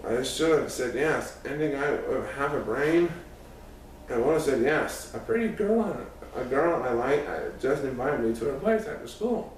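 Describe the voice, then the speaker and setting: A man's voice: medium pitch, lively intonation, normal speed. Echoey, distant man reading a story. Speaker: audiobook reader. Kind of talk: first-person scripted narration of a youth story. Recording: very echoey room, distant mic, faint noise.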